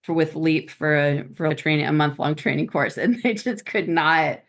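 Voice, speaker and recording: A woman's voice: deep voice, moderate pace. A woman guest on a podcast, chatting casually about farm life, laughing often and trailing off mid-sentence. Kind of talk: casual chat.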